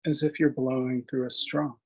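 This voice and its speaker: A man leading a guided meditation in a gentle, unhurried manner, leaving long pauses between short phrases. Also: medium pitch, flat delivery, breathy edge. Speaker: meditation instructor.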